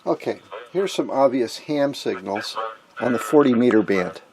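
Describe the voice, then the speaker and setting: A man giving an informal technical demonstration of vintage radio gear, explaining and pausing to point things out. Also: medium pitch, expressive, heavy background noise. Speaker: host, electronics hobbyist.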